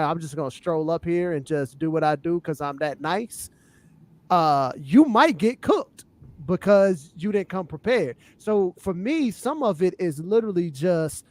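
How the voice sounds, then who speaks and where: A man on a podcast panel talking casually about hip hop, explaining his points in a measured way and often checking that the listener follows. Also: a little high, a little mellow, no room sound.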